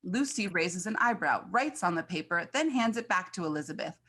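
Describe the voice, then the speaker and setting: A woman's voice: fairly low pitch, expressive, fairly quick, sharp tone. A woman reading scripted stage directions as the narrator of a screenplay.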